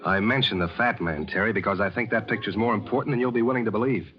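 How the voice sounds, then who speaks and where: A man's voice: lowish voice, quick pace, natural voice. An actor playing a shrewd, sardonic American newspaperman with casual slangy speech, in 1940s radio drama style. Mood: wry, shrewd. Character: wry, shrewd, hard-boiled American newspaperman. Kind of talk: 1940s radio drama acting and first-person narration. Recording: dull vintage radio recording with music.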